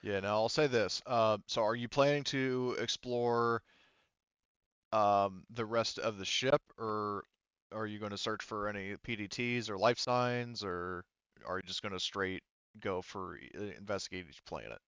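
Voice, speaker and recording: A man speaking off the cuff as game master of a tabletop game, haltingly and thinking aloud. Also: lowish voice, a bit bright, clean tone, no room sound, lo-fi sound. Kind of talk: unscripted tabletop game narration.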